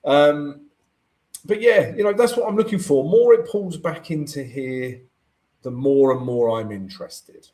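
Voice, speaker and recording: A man with a croaky voice hosting a live trading stream, chatting through his charts and answering viewer comments, often checking in with "right?". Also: a little high, unhurried, a little mellow. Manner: chatty, keeps asking "right?" to the audience.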